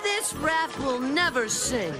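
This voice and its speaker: A woman's voice: high-pitched, sing-song, takes it slowly, full character voice. A woman voicing a cocky, bumbling little boy in a children's cartoon, boastful and sure of himself. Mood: overconfident. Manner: boastful, overconfident. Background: music.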